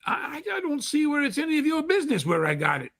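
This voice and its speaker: Evasive, nervy male shopkeeper played by an actor for radio drama; curt, streetwise, very lively intonation. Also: very high-pitched, fast, exaggerated voice. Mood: defensive. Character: evasive, nervous, defensive male secondhand clothes dealer. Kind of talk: vintage radio drama acting.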